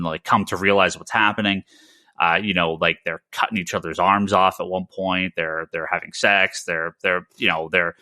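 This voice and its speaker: A man with a low, bright, forceful voice co-hosts a casual comics podcast. He talks emphatically, doubling back and repeating words as he explains. The sound is dry and close. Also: dry recording. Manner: halting, repetitive, emphatic.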